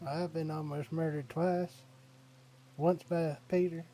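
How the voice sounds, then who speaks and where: A man chatting in character during a roleplay game, joking with quick comebacks. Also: a little high, flat delivery, warm tone, a little hiss or hum. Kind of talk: casual roleplay chat in character.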